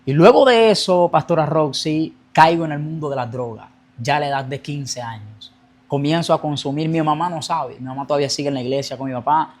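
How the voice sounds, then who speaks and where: A man's voice: a little high, a little fast. A young man telling his personal faith testimony as a guest, earnest, speeding up as he recounts his past. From Colombia.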